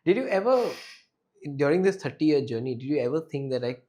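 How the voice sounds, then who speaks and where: A man's voice: a little high, big pitch swings, fairly quick, mellow. A man interviewing casually as a host, often giving short acknowledgements.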